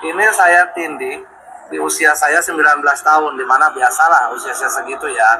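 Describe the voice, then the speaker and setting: A man's voice: fairly high pitch, steady tone, a little fast, noisy background. A man in his forties, earnestly demonstrating and explaining to the camera. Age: 40s.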